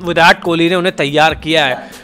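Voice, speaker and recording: A man's voice: a little high, steady tone, brisk, pushed and bright. A man in his thirties, a cricket analyst on a studio panel, thinking aloud and explaining at length into a handheld mic. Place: indoor TV studio set.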